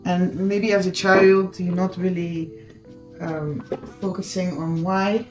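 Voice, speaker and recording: A woman's voice: on the low side, level tone, takes it slowly. A Dutch woman with a Dutch accent, whose first language is Dutch, chatting casually to her viewers while cooking in her home kitchen. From Netherlands. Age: adult with children. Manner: chatty, addresses viewers directly, mixes in Dutch words. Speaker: cooking vlogger. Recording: echoey kitchen with background music.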